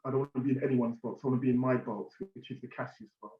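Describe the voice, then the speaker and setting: A panelist from the United Kingdom speaks earnestly at a mid pitch, repeating words when he presses a point. The sound is roomy and a little dull. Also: steady tone, rapid-fire. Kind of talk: panel discussion. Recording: echoey, muffled room sound.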